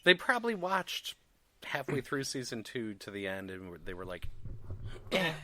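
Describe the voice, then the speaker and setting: Lively, blunt-talking man. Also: mid-range voice, normal speed, no room sound. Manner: blunt, swears freely. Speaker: podcast co-host. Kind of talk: casual chat.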